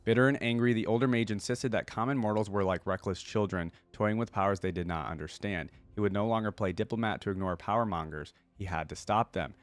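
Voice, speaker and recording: A man's voice: fairly low pitch, fairly quick, few pauses, dry recording. A man reading stories aloud and giving casual, chatty commentary as a reaction host. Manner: informal, chatty.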